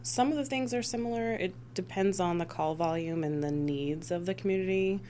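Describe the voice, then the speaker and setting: A woman's voice: fairly low pitch, fairly quick, warm tone, a little hiss or hum. A woman from the United States giving conversational answers as an emergency dispatch supervisor being interviewed. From United States (Missouri and Rhode Island).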